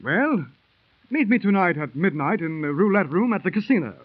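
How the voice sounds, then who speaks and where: A superstitious English gambler with a high, lively, clipped upper-class English accent and a jaunty manner. The sound is thin and tinny like a 1930s radio transcription, with faint hiss. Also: male voice, normal speed. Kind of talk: 1930s radio drama acting.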